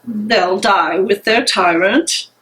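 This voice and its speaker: A woman in a dramatic stage reading playing a resolute anti-Nazi conspirator, deliberate and earnest. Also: mid-range voice, a bit bright, low-quality recording. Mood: guarded, grim.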